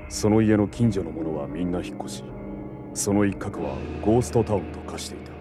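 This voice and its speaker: An actor playing a brooding, cursed vampire hunter in an audio drama, telling his past in a grave, measured way. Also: low-pitched, close to an everyday voice. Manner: grave, somber, measured storytelling. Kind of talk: Japanese audio drama acting with narration.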